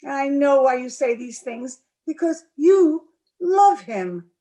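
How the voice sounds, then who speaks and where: Lady doing a proud young gentlewoman in love, highish voice, slow dramatic delivery, old radio play. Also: animated. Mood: defiant, accusing. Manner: theatrical, emphatic. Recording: remote video-call recording.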